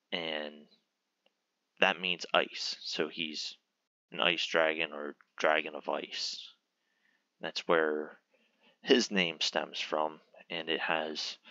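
A young man with a very low, flat and gravelly voice talks slowly and loosely, full of fillers, as a channel host. His tone has a bright, tense edge. The recording is dry and a little muffled. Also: no room sound. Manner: relaxed, rambling with fillers. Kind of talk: casual talk to camera about a channel mascot.

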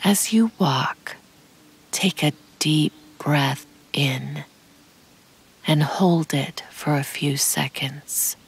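Slow, low, breathy meditation woman. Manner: calm, soothing, measured. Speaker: guided meditation narrator. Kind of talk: slow scripted guided meditation narration. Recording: birdsong and forest ambience behind. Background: birdsong, insects, nature ambience.

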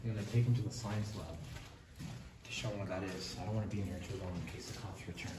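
A man's voice: low-pitched, fast, echoey room, noisy background. A man guiding a friend through an abandoned building, talking casually and jumpily and swearing at times, picked up from a distance by the friend's camera. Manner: jumpy, whispering, swearing casually. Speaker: guide showing a friend around. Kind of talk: casual chat. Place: inside a large abandoned building.

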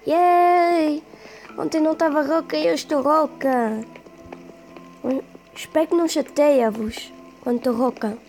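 A woman with a European Portuguese accent, hoarse today, rambling casually through a game playthrough. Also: high-pitched, steady tone, unhurried, pauses often, darkish tone, poor audio. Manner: rambling, hesitant. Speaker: gaming youtuber. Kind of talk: casual let's play commentary. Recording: voice over game music.

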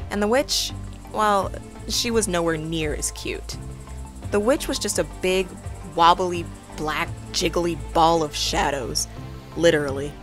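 A woman playing an awkward, sarcastic girl in an audio drama, talking in a casual, wry way. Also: on the low side. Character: awkward, sarcastic girl, reluctant dream apprentice. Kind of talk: audio drama acting and narration.

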